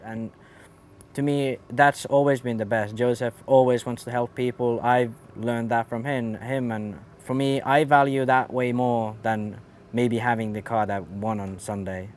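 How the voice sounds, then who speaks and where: A young man of eighteen from Finland, a racer talking casually and quickly with lots of fillers. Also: medium pitch, steady tone, a little hiss or hum. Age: young adult. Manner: quick, with many fillers and restarts. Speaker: RC car racer. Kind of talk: casual interview.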